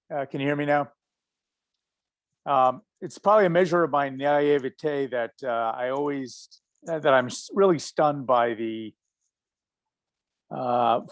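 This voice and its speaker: A man's voice: medium pitch, dry recording. Hesitant man on a dry video call. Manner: hesitant, halting with many fillers and restarts. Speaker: panelist. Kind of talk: casual panel discussion.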